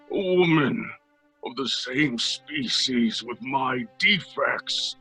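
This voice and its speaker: A man playing a lonely, pleading yet menacing monster in old-time radio horror style, with a raspy growl and halting phrases. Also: fairly high pitch, pushed and bright, exaggerated voice. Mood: demanding. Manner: slow, halting, fragmented phrases. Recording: music under the voice.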